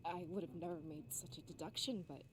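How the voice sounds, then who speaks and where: A woman voicing a wary, grave female rebel fighter in a scripted visual novel, with a measured and serious delivery. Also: lowish voice, background noise, lightly acted. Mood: grave, uneasy. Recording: music behind the voice. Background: game music.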